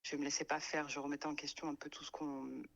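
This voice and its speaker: A woman's voice: low-pitched, flat delivery, fast, vocal fry, a bit bright, highs cut off. A woman in her late thirties from France, telling her life story with many hesitations, fillers and repeated words. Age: 30s. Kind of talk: hesitant personal testimony. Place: radio studio.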